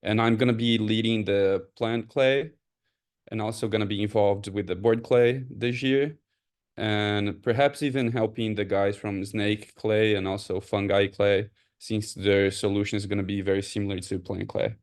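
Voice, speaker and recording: A man's voice: lowish voice, fairly even intonation, normal speed. A man from Brazil with a Brazilian accent, introducing himself casually as a team lead on a video call.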